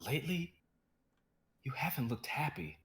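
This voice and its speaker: A man voicing a patient male assistant to a star, speaking calmly and gently in an amateur dramatic reading. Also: on the high side, normal speed, reverberant. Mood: gentle. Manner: calm, gentle, soothing. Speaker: actor in a live script reading.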